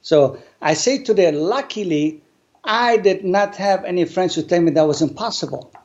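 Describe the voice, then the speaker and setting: A man, an English speaker, telling his life story earnestly and deliberately as an interview guest. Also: high voice, expressive, darkish tone. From Lebanon. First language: not English. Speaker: guest, business owner. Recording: dull lo-fi sound.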